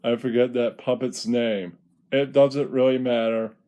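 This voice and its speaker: Flamboyant male puppet entertainer, played by a man: slow, mellow delivery, preening, teasing, foul-mouthed. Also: medium pitch, fairly even intonation, natural voice. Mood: sassy, preening. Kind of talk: puppet show comedy monologue.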